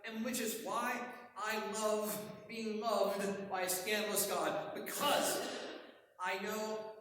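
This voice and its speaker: A male pastor preaching a sermon in a church, conversational and wry, getting excited as he preaches. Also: sits high. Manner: gets excited and hard to follow when preaching. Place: church sanctuary. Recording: echoey hall, voice from far off.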